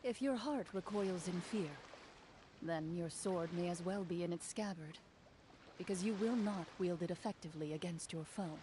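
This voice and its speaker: An actress playing a composed, stern young champion duelist in a video game, speaking in a measured, formal, self-assured way over music. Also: female voice, fairly low pitch, background noise, close to an everyday voice. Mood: solemn, resolute. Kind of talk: video game character dialogue.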